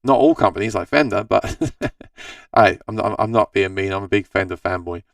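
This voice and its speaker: A man with a tired, scratchy voice hosts a casual live chat about guitars, rambling from one point to the next and often restarting his sentences. His tone is gravelly yet smooth underneath, and the sound is dry, sitting a little back from the microphone. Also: fairly low pitch, normal speed, dry recording, distant mic. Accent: Australian. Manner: rambling, self-correcting, chatty. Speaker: host of a live gear Q&A stream. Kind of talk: casual live-stream chat answering viewer comments.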